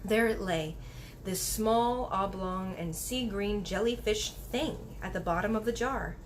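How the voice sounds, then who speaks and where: A woman's voice: mid-range voice, a little slow, a little hiss or hum. A woman reading a children's book aloud as narrator. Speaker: story reader.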